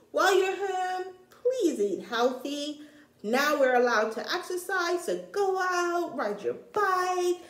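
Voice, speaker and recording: Slow, animated woman reading a storybook. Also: high-pitched, roomy sound, a little hiss or hum. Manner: animated, deliberate storytelling for young children. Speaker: storytime reader, teacher-like. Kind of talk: expressive read-aloud of a children's picture book.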